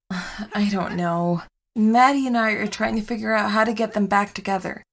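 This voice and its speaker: A woman's voice: mid-range voice, steady tone, normal speed, close to an everyday voice. A woman playing a sensible, caring superheroine wife with a practical, conversational manner in a comedy audio drama.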